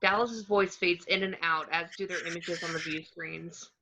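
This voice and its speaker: A woman reading screenplay action descriptions as narration, in a plain, measured way. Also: lowish voice, fairly even intonation, a crowd in the background. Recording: slightly dull home microphone.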